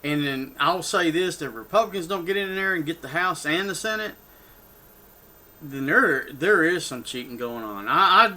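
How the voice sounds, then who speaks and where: Older texan guy going off on a rant, keeps restarting, bright punchy voice. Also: fairly high pitch, animated, normal speed. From Texas. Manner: rambling and heated, with many restarts and asides. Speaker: political vlogger. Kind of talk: off-the-cuff rant.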